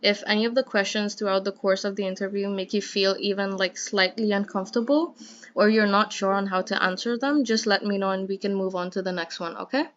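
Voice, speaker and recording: A woman's voice: mid-range voice, fairly even intonation, a little fast, muffled recording, slight background noise. A young woman hosting a student interview from home, friendly and chatty with many fillers.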